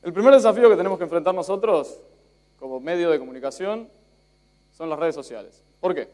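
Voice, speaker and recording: A man's voice: fairly high pitch, fairly quick, a little mellow. A young Spanish-born man speaking with an Argentine accent, giving a chatty conference talk with fillers and self-jokes. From Spain. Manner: chatty, self-joking, many fillers and restarts.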